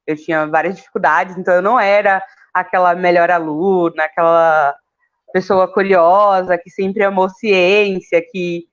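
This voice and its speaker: A woman's voice: fairly low pitch, steady tone, moderate pace, muffled recording. A woman from Salvador, a chemistry graduate, telling her own story informally in a debate, with fillers. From Salvador, Brazil. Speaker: chemistry graduate and council candidate. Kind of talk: casual spoken life story in a debate.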